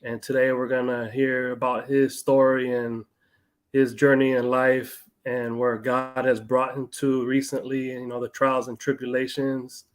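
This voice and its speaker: A man's voice: medium pitch, little pitch movement, unhurried. A man hosting a casual interview, asking questions in a friendly, encouraging manner. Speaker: host.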